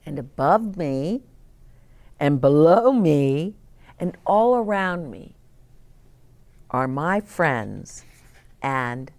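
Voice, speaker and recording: A woman reads and chats through picture books for young children. Her voice sits a little low and swings widely in pitch. She takes her time, leaning on key words and putting simple questions to the young listener. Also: big pitch swings, very slow, long pauses, darkish tone. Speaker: children's storybook reader and host. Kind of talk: read-aloud story time with commentary for young children.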